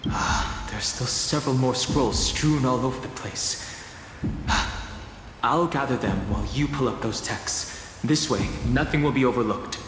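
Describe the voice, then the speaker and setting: A man playing a polite, reserved young warrior in a video game, measured and gentle. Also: medium pitch, a little hiss or hum, lightly acted. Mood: thoughtful. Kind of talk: video game character dialogue. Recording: echoey reverberant hall, loud background music.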